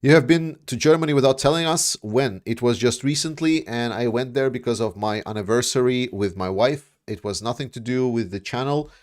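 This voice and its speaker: A man's voice: medium pitch, brisk, hardly any pauses. A man hosts a casual livestream and reads out viewer questions. He rambles through his answers with frequent fillers and restarts. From Latvia. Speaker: livestream host.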